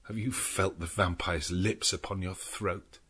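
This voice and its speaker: A man's voice: sits low, steady tone, a little slow, a bit bright, husky, voice pushed down, full character voice. A man voicing a distraught, hot-tempered young husband in an impassioned, declamatory way, in a gothic audiobook. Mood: horrified.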